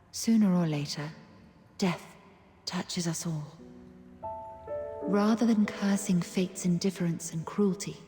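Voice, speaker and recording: An actress voicing a serene, wise woman guide in a video game, speaking in a gentle, measured, philosophical manner. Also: lowish voice. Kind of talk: solemn video game dramatic monologue. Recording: echoey long reverb, background music.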